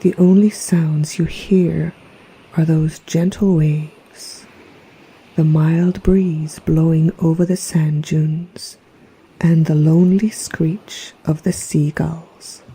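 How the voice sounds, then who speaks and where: A woman narrating a guided meditation in a soft, breathy, half-whispered voice with a gentle, soothing, hypnotic manner. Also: sits low, steady tone, slow pace, low-quality recording. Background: relaxation music.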